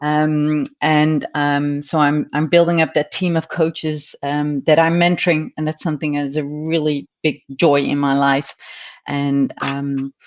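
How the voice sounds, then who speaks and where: A woman's voice: sits low, highs cut off. A woman from the Netherlands with a Dutch accent, a business coach who talks reflectively, with many ums and repeated words. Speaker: guest, business and life coach. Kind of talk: casual interview chat.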